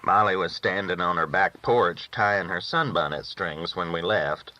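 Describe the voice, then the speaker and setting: A man's voice: deep voice, a little slow, sharp tone. A man reading a novel aloud as a first-person storyteller, with folksy rural phrasing, on a dull recording. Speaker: audiobook reader.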